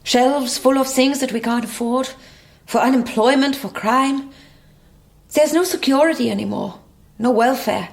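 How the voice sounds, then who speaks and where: A somewhat high, creaky-voiced East German woman, middle-aged, proud and bitter. She is curt and stiffly formal, in radio drama style. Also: measured pace.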